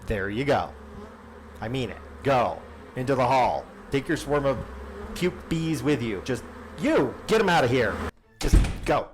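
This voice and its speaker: A man's voice: mid-range voice, expressive, measured pace, a little hiss or hum, close to an everyday voice. A man playing an exasperated, sarcastic small-town radio host in a comic audio drama, theatrical and grumbling.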